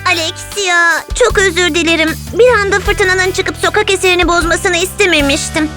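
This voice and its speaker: A woman voicing a chirpy, excitable young female pet dog in a Turkish children's cartoon dub, cute and girlish. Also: very high-pitched, moderate pace, over music. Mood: apologetic.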